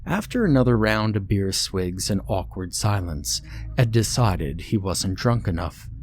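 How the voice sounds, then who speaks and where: A man's voice: on the low side, expressive, a little slow, a little hiss or hum. A man reading a scripted horror story as its narrator.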